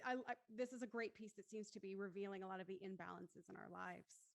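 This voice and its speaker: A woman's voice: mid-range voice, quick pace, gravelly. A woman hosting a casual online panel discussion, effusive and appreciative in how she talks. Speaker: host of an online post-show discussion.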